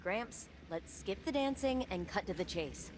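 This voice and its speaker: A woman's voice: mid-range voice, lively intonation, a little slow, noisy background, lightly acted. An actress voicing a wry, defiant young woman scientist in a video game, sarcastic, casual and quippy.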